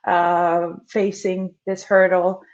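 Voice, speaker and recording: A woman from Finland, a customer success specialist, giving a measured webinar presentation with frequent "uh" fillers. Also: lowish voice, level tone, highs cut off.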